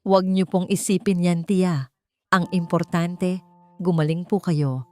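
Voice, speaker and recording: A woman's voice: lowish voice, fairly even intonation, unhurried, warm tone, soft background music, lightly acted. A woman voicing an anxious, devoted young niece who speaks humbly and tenderly in an audiobook. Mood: tender, reassuring.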